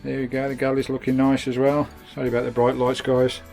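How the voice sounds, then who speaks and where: A man's voice: mid-range voice, completely flat delivery, fast, creaky, a little mellow, faint music behind. A man with a British accent giving a casual, matey, hands-on vlog commentary from inside a campervan. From United Kingdom. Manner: chatty, matey, peppered with British slang. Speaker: vanlife vlogger. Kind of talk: casual hands-on video tour and fitting commentary.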